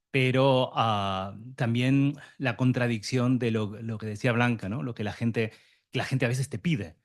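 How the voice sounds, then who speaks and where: A man with a Buenos Aires Argentine accent giving reflective, hesitant remarks at a round table, as the representative of mediator psychologists, in a room with a live and online audience. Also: mid-range voice. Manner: hesitant, many fillers and restarts, reflective.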